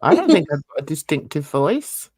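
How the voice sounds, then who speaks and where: A woman's voice: sits low, sing-song, normal speed, a little mellow, husky, no room sound. A woman hosting a casual livestream, rambling with many fillers, a bit under the weather with a cold. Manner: chatty, rambling, frequent fillers. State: coughing and sneezing. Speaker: livestream host.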